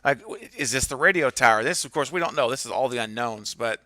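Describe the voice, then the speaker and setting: A man's voice: medium pitch, fast, sharp tone, no echo. A man co-hosting a live horror movie stream, upbeat and laughing as he reads the chat aloud. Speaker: co-host.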